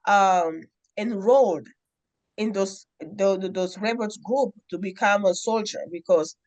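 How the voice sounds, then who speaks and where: A woman's voice: medium pitch, a little mellow, low-quality recording. Earnest woman telling her story. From Democratic Republic of Congo. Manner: earnest, deliberate, with frequent pauses and fillers. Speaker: guest, nonprofit founder. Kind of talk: interview storytelling.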